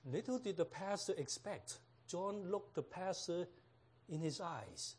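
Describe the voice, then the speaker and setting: High-voiced slow-talking pastor from Hong Kong. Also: male voice, expressive, slightly rough. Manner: unhurried, laughs at own jokes, frequent fillers. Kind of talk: sermon with humorous stories.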